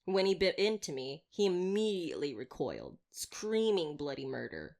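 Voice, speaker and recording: A woman acts out a young female vampire slayer with a low-pitched voice. She is wry and theatrical, talking straight to the listener in an ASMR roleplay. Her manner is chatty and teasing, with a playful menace. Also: sing-song, normal speed, creaky, a little mellow, exaggerated voice. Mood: chatty storytelling.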